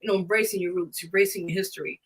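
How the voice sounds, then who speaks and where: A woman's voice: lowish voice, steady tone, very fast, husky. A woman hosting a casual radio interview, conversational and affirming toward the guest. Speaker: radio show host.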